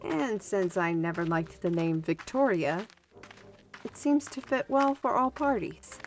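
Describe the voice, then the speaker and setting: A woman playing a spirited, good-humored artist and adventurer in a fantasy audio drama, with a playful, expressive delivery. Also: mid-range voice, unhurried. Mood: cheerful. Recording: music under the voice.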